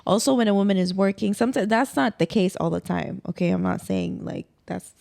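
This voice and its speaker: Female podcast guest from the Emirates, casual and explanatory, soft dark tone with creaky edges. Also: medium pitch, brisk. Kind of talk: casual conversation.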